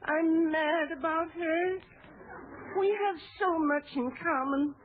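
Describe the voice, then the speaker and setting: Doleful, timid postman, high prim voice, flowery delivery, tinny old radio sound. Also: steady tone, slow pace. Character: doleful, timid male postman with silly airs. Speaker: comic supporting actor. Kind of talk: 1940s radio comedy acting. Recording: tinny, noisy 1940s radio recording.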